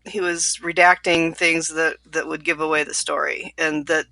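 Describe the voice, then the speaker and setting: A woman's voice: low voice, level tone, normal speed, vocal fry, bright, forceful tone, dry recording. A woman co-hosting a podcast, explaining her reasoning in a casual book discussion. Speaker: podcast co-host.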